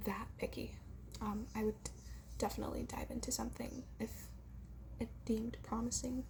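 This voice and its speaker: A woman playing a shy, bookish girl in an ASMR roleplay, soft-spoken and hesitant in a breathy whisper. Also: fairly high pitch, background noise. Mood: shy, musing. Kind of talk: whispered ASMR roleplay, improvised casual chat.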